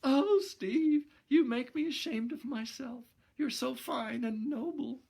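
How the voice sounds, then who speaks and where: A man's voice: high-pitched, steady tone, normal speed, voice pushed up. A man reading a storybook voicing a young woman who pleads tearfully and repents. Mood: tearful pleading.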